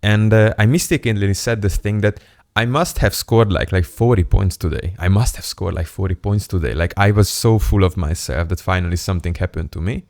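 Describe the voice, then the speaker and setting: A young man from Hungary speaks English in a very deep, low register. He talks to the camera in a playful, self-mocking and candid way, like a casual vlogger. The sound is dry and close, with no room echo. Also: fairly quick, creaky, a little mellow. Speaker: self-improvement YouTuber. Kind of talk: casual storytelling monologue to camera.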